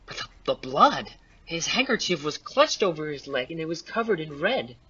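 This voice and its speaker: An earnest, astonished witness in a murder-mystery audio play, played by a man. The voice is fairly high and very animated, with a husky edge. The recording is dull and lo-fi. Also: moderate pace, distant mic, exaggerated voice. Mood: disbelieving.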